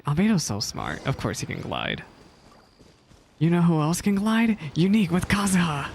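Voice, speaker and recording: A woman's voice: on the low side, wildly swooping pitch, moderate pace, gravelly, a little mellow, a little hiss or hum. A woman live-streaming casual game reactions, chatty, exclamatory and self-deprecating.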